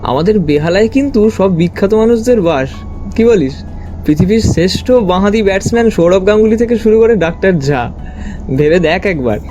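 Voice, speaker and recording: An actor playing a detective's curious companion, narrating the story in the first person with expressive storytelling. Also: male voice, sits high, fairly quick. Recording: music under the voice.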